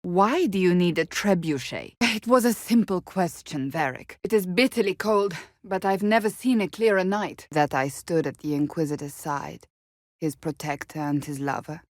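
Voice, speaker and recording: A woman's voice: on the low side, normal speed, no echo, studio quality, lightly acted. An actress playing a stern, devout, blunt warrior woman in a video game, speaking formally and earnestly in clipped phrases. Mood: dry, firm, then sober, reserved. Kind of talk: video game character dialogue.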